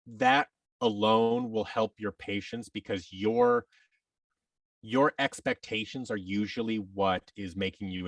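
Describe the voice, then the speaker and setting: A man's voice: lowish voice, gravelly, a bit bright, no room sound, recorded from a distance. A man giving advice as a podcast guest in a casual, rambling, emphatic way, often voicing imagined quotes as he explains.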